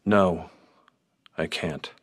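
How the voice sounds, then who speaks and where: A low-voiced, firm priest. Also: male voice, fairly even intonation, a bit bright, husky, exaggerated voice. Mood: grave, firm. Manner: measured, restrained. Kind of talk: radio drama acting.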